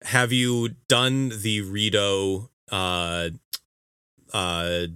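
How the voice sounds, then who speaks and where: A man co-hosting a casual video game podcast, talking emphatically with halting explanations and exasperated teasing. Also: fairly low pitch, flat delivery, pushed and bright, clean tone, dry recording, clean studio sound. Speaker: podcast co-host.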